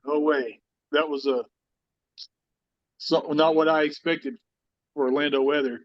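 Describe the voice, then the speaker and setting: A man's voice: a little high, fairly even intonation, a little slow. Jokey man on a dull line. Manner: chatty, jokey storytelling. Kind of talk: casual anecdote. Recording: dull lo-fi audio.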